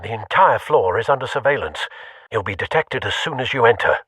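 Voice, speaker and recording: A man voicing a dry-witted, composed older butler in a video game, formal and measured with a husky voice. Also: mid-range voice, animated, a bit bright, no echo. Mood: dry, watchful.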